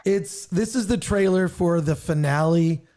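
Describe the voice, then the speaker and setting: A man with a rough voice, chatting casually on a livestream and often stumbling over his words. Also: high-pitched, mellow. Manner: halting, stumbles and repeats words. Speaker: guest co-host sharing a trailer.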